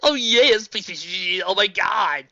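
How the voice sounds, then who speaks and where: A shrill goofy young man, screeching, sing-song, very high and wild, dull lo-fi sound. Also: very animated, moderate pace, pushed and bright, dry recording. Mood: greedy. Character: whiny goofy hyper young man with cleaver. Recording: dull lo-fi dry recording.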